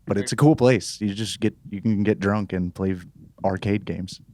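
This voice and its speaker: A man's voice: lowish voice, normal speed, a little mellow. A young American man in a band interview, chatting casually in a laid-back, joking way. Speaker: band musician being interviewed. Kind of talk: casual chat.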